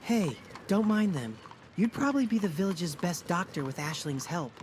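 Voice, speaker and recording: An easygoing young man in a fantasy audio drama, played by a performer, reassures gently with a very animated melody to the voice. He sounds encouraging and kind, over faint outdoor ambience of animals and hooves. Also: mid-range voice, moderate pace, slight background noise, close to an everyday voice. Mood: reassuring. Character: Creek, easygoing, encouraging young male friend in fantasy tale.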